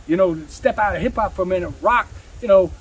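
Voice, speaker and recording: A man's voice: high voice, quick pace, breathy edge. A middle-aged man, a rapper telling career stories in a casual, slangy, profane way, repeating phrases for emphasis. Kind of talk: casual storytelling chat.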